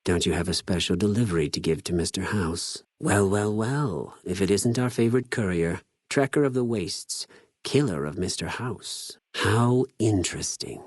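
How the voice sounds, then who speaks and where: An actor playing a cold, zealous, contemptuous legion spy in a video game, speaking formally with measured condescension. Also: male voice, low-pitched, darkish tone, no room sound, clean studio sound. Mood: mocking menace. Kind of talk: scripted video game character dialogue.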